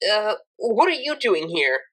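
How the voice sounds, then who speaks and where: A woman's voice: mid-range voice, big pitch swings, a little slow, fairly bright tone, voice pushed up. Woman voicing a troubled, guarded young unicorn mare. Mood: suspicious. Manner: curt, pleading.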